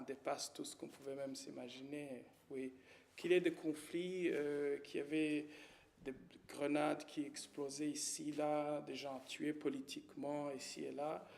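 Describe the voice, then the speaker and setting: A man from Rwanda, giving a halting, hesitant personal testimony full of pauses and fillers. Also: a little high, creaky, darkish tone. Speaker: witness.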